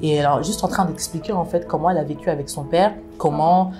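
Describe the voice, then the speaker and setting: A woman's voice: low-pitched, quick pace, music in the background. A woman from France, a book club founder, speaking earnestly in a casual interview, often restarting her sentences. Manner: earnest, frequent restarts and affirming "exactement".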